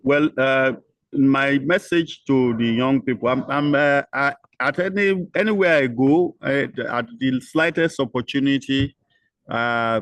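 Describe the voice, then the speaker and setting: An older man answering interview questions, slow and halting with many fillers and restarts. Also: medium pitch, no echo. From Nigeria. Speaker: interview guest.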